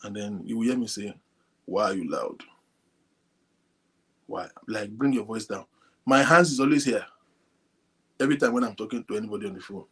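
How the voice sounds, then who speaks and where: Male show host, husky and quiet, talks straight to viewers at home. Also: medium pitch. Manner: speaks softly, animated, addresses viewers directly. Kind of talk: casual talk to a live audience. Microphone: phone linked to a computer.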